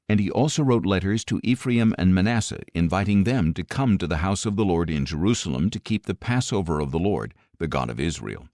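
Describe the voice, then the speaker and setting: A man narrating scripture from a script as an audiobook reader, solemn and measured, with clear enunciation. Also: low-pitched, darkish tone, no echo, studio quality.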